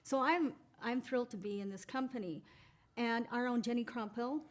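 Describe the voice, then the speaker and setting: A chatty, upbeat woman talks as an interview guest, her voice sitting a bit high. She laughs easily and speaks in a relaxed conversational way. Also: moderate pace. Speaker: voice actress guest. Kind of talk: casual interview chat.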